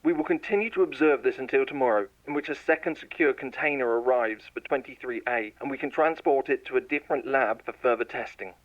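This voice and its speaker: A man voices a lab scientist in a horror audio drama, dictating test logs in a measured, clinical tone. His voice sits at an ordinary middle pitch for a man. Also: lo-fi sound. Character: male lab scientist logging tests, growing frightened. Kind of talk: scripted horror audio narration.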